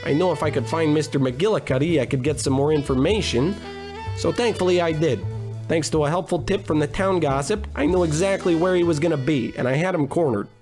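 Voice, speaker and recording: A man acts out a self-important gumshoe in a comic old-time radio play. The voice sits a little high for a man, deadpan and blustering, with music clearly playing behind it. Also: brisk, close to an everyday voice. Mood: brooding narration. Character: bumbling, self-important hard-boiled private detective, adult man. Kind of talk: comic 1940s radio noir narration and dialogue.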